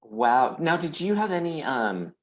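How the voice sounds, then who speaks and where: A man's voice: a little high, sing-song, measured pace. A man hosting a casual online interview show. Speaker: host of a weekly chat show.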